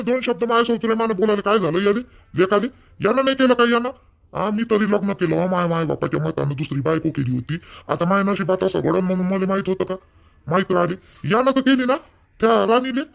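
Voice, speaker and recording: A man acts out an irritable middle-aged village dad from a cartoon, speaking Varhadi Marathi. His voice sits high for a man and has a gravelly creak. He talks in a colloquial, argumentative way. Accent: Varhadi Marathi from Vidarbha. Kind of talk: Marathi cartoon family drama dialogue.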